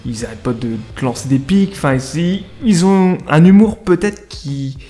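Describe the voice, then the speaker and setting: Higher-pitched Frenchman in his thirties, casual game reviewer. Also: animated, moderate pace, mellow. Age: 30s. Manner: informal, slangy, conversational asides to viewers. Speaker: gaming video creator and reviewer. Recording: music under the voice. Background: music.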